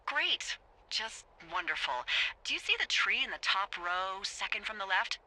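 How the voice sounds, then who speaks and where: An actress playing a wry, breezy American fire lookout speaking over a two-way radio in a video game. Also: female voice, mid-range voice, sing-song, moderate pace, bright, forceful tone, exaggerated voice, poor audio. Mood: coy, breezy. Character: wry, chipper, foul-mouthed adult woman fire lookout. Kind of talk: naturalistic video game dialogue.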